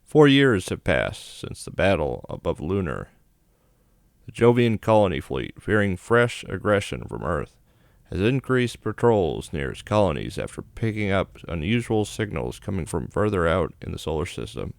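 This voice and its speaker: A man's voice: low-pitched, very animated, moderate pace, creaky, dry recording, clean studio sound. A man hosting a gaming video, narrating a playthrough in a casual, plainspoken way. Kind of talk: game playthrough commentary, reading on-screen story text. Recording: music behind the voice. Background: game music.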